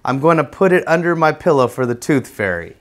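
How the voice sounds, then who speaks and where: A man's voice: on the high side, lively intonation, fairly quick, natural voice. A man voicing an eager, curious little girl bear cub from a children's storybook, lisping around a loose tooth. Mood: determined. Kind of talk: children's storybook read-aloud.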